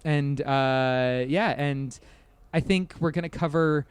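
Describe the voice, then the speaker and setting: A man's voice: fairly high pitch, slow pace, clear, resonant tone, no echo. A man chatting casually as a podcast guest, rambling and self-deprecating, often hedging and chuckling as he talks.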